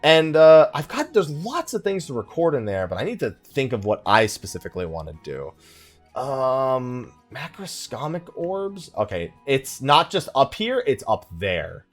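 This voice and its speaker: A man's voice: mid-range voice, very animated, a little slow, soft background music. A man giving casual let's play commentary as a video creator, rambling and thinking aloud, often sidetracking himself. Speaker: gaming video creator and live streamer.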